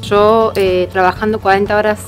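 A young adult woman speaks steadily to camera outdoors, pausing now and then. Her pitch is ordinary, and faint music sits underneath. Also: level tone. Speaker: working holiday traveler. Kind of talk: casual testimonial to camera. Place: outdoors by a hedge.

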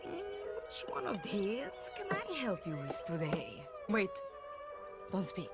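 A woman's voice: mid-range voice, wildly swooping pitch, slow. A woman playing a mysterious, coaxing fortune teller and dealer in curiosities in a theatrical game cutscene. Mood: mystical. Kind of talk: video game cutscene acting. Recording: music behind the voice.

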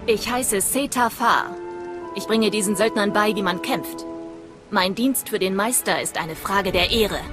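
Actress as female mercenary leader, honour-bound, formal, game cutscene, clear background music. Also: medium pitch, measured pace, lightly acted. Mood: proud, firm. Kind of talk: video game cutscene acting.

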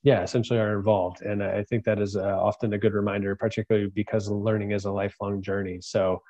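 A middle-aged man moderating a webinar in a measured way, over dull video-call audio. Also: lowish voice, flat delivery, dark tone, no echo.

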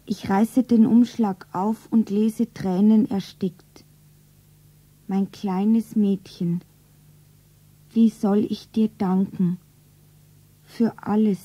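An actress playing a grieving, tearful young shop girl in a 1970s radio drama, breathy, theatrical and often whispering. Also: medium pitch, mellow, no room sound. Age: young adult. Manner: slow, theatrical, self-narrating. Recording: dull radio play recording.

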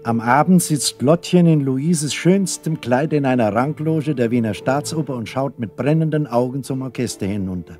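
A man's voice: medium pitch, normal speed, few pauses, a little mellow, faint music behind. A man narrating a scripted children's radio play as a storyteller.

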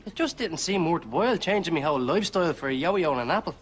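A man's voice: a little high, animated, fast talker, natural voice. A young man playing a cheeky Irish chancer with a Dublin accent in a television sitcom. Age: young adult.

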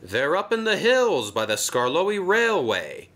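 A fussy, commanding railway director, put on by a man in a storybook reading. He speaks formally and crisply in a bright voice, with a pitch that climbs and dips dramatically. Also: wildly swooping pitch, exaggerated voice. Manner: formal, brisk.

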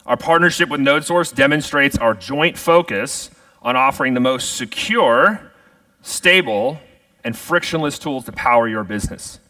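A man's voice: medium pitch, expressive, normal speed, pushed and bright, no room sound, far from the mic, poor audio. A middle-aged man giving a polished, upbeat product keynote on a large conference stage. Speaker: keynote speaker.

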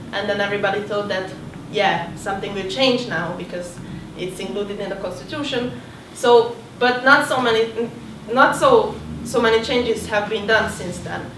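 Young woman, earnest halting talk, higher voice, distant and roomy, noisy hall. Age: young adult. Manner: hesitant, earnest, many fillers. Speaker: student presenter. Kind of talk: informal panel talk. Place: dim hall with seated audience.

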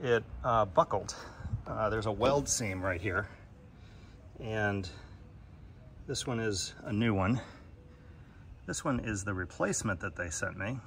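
A man's voice: on the low side, unhurried, long pauses, a bit bright, a little hiss or hum. Annoyed man reviewing gear outdoors. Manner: plain-spoken, annoyed, pointing things out. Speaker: product reviewer. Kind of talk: casual handheld product review. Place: outdoor wooden deck. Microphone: phone camera in hand.

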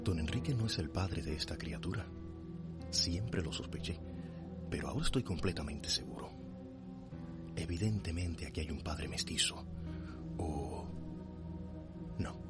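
An actor playing an anguished man of conscience, thinking aloud with dramatic pauses in a radio soap opera. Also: rumbling low, expressive. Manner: dramatic inner monologue with pauses. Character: anguished man of conscience forced to obey a cruel master. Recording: loud music behind the voice.

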